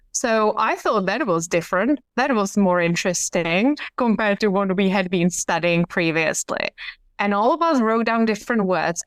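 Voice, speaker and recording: Woman, smooth clear voice, slow pace, dry close sound. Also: medium pitch, no room sound. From Czech Republic. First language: Czech. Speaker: podcast guest, hypnotherapist. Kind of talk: conversational storytelling.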